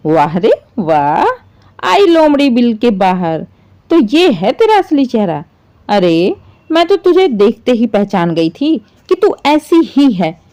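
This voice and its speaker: Woman acting bitter, high-voiced scolding mother-in-law. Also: big pitch swings, slow pace, dark tone. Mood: scornful. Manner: shrill indignant complaining, sarcastic. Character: bitter scolding middle-aged mother-in-law, dramatic and possessive.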